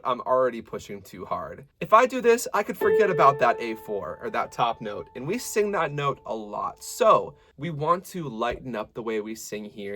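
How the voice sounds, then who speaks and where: A man with a medium-low voice teaching a singing lesson, explaining technique clearly and stopping to sing short examples. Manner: lively, demonstrative teacher who breaks into sung examples. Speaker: vocal coach.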